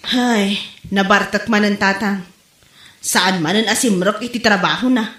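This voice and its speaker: A woman's voice: mid-range voice, measured pace, a bit bright, natural voice. A woman acting a long-suffering, pleading wife and mother in a comedy drama, recorded in an echoey room. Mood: respectful, weary.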